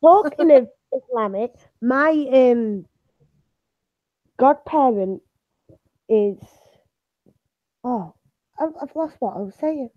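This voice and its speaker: Giggly high woman, slow. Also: lively intonation, no room sound, lo-fi sound. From Britain. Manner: giggly, laughs constantly. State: losing voice from laughing. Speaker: co-host artist. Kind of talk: casual stream chat.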